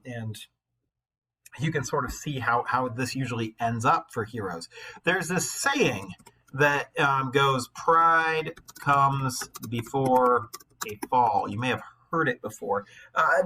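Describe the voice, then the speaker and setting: A man with a bright, forceful voice and a husky edge, reading and explaining a story like a high school English teacher. His phrasing is dramatic and emphatic, at a medium pitch. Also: low-quality recording. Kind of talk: expressive story read-aloud with teaching asides.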